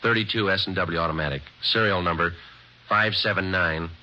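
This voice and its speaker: An actor playing a terse male police detective sergeant, speaking in a clipped, deadpan, matter-of-fact way in an old radio drama. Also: low voice, a little fast. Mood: stern, clipped. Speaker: detective and narrator. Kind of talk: 1940s-50s radio drama acting. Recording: dull lo-fi old radio recording with faint hiss.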